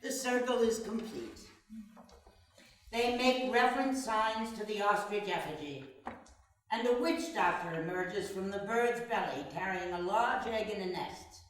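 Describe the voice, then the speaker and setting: A woman's voice: medium pitch, unhurried, slight background noise. A woman reading stage directions as narrator in a dramatic live play reading. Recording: echoey hall, far from mic.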